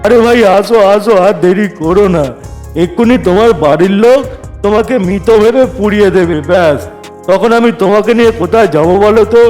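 Cartoon casting: male actor as flustered escort of souls, high male pitch, insistent delivery. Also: fairly quick, a little mellow, soft background music, natural voice. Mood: urgent, pleading. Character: harried messenger of death escorting souls through the underworld. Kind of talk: Bengali cartoon voice acting.

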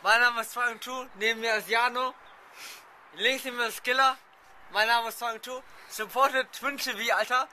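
A man giving a loud, boastful, boisterous shout-out. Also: very high-pitched, fairly even intonation, normal speed. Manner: loud, bragging. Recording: faint ambient noise.

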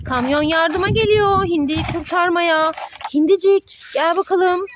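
Super high voiced mom doing kids toy play narration, singsong, loud clattery noise behind. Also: female voice, unhurried. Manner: singsong pretend play with sound effects and diminutives. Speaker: mother narrating toy play with her child.

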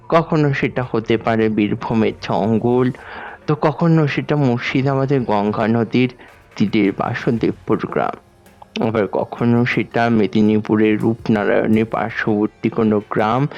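A man playing a grave village priest in a horror audio story, speaking solemnly and deliberately. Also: medium pitch, darkish tone, muffled recording. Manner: solemn, deliberate, fatherly address to a younger woman.

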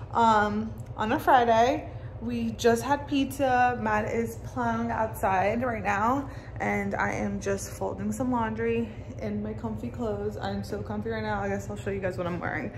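A woman's voice: medium pitch, normal speed, slight background noise. A young woman in her twenties chatting casually to her phone camera at home, rambling with lots of ums and likes. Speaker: vlogger. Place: home living room and car. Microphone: handheld phone at arm's length.

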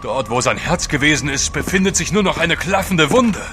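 An actor playing a wary, sardonic and plain-spoken seafarer on a polar trek in an audio drama. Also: male voice, fairly high pitch, expressive, normal speed, sharp tone. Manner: blunt, sardonic. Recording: clear outdoor background noise.

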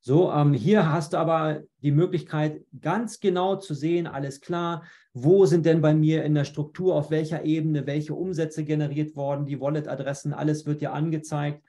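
A man with a slightly raised voice leads an online webinar. He explains things patiently, step by step, while clicking through a screen share, and keeps pausing with fillers and restarting sentences. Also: fairly high pitch, fairly even intonation, mellow. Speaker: webinar presenter.

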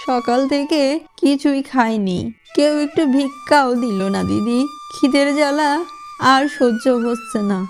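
A woman voicing a gentle, weary old fox beggar granny in a children's cartoon, plaintive and affectionate. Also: on the high side, natural voice.

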